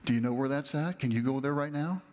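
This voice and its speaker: A middle-aged man, a pastor, telling a story in a lively, conversational sermon while moving around a large church stage. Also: medium pitch, fast, in a large hall. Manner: animated, conversational, gestures while walking the stage.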